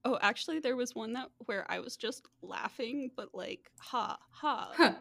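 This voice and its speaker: High-voiced, giggly woman podcast host. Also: normal speed, creaky, no room sound. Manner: giggly, laughs often while talking. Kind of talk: casual chatty banter.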